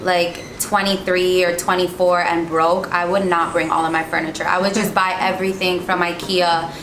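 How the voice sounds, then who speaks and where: A woman's voice: lowish voice, level tone, moderate pace, a bit bright, a little hiss or hum. A young woman from New York and New Jersey in the United States, telling her experience casually as an interview guest. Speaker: interview guest, business owner. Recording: echoey room with music behind.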